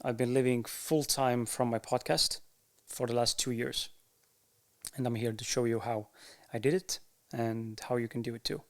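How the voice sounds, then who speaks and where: A man with an Estonian accent, a podcaster speaking to camera in a candid, unhurried way, with frequent pauses to find his words. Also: medium pitch, steady tone. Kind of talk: casual talk to camera.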